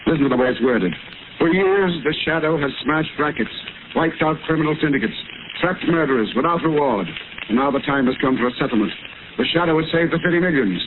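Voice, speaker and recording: An actor playing a hot-tempered, demanding city mayor with forceful, oratorical delivery in 1930s radio drama style. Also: male voice, a little high, lively intonation, fast, lightly acted. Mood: insistent. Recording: scratchy, noisy, tinny 1930s radio recording.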